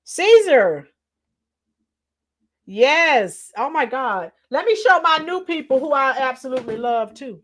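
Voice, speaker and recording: Hoarse middle-aged woman, high voice, unhurried livestream chatter. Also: big pitch swings. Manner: chatty, reads out comments and sings along to songs. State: hoarse, losing her voice. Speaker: livestream host. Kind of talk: casual livestream chat with viewers.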